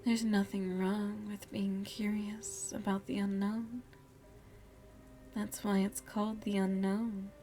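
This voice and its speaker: A seductive, motherly vampire played by a woman murmurs in hushed, breathy ASMR roleplay. Her pace is slow and deliberate, her tone dark and soft. She coaxes and teases with quiet menace, close to the mic over faint music. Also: mid-range voice. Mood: teasing, coaxing. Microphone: close microphone.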